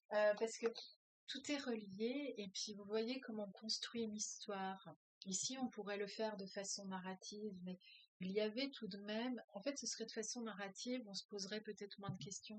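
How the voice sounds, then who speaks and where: Dry-sounding French teacher reading aloud. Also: female voice, mid-range voice, sharp tone, dry recording, poor audio. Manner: hesitant, many filler sounds, thinks aloud. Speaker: French as a foreign language teacher. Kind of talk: reading a novel aloud with commentary.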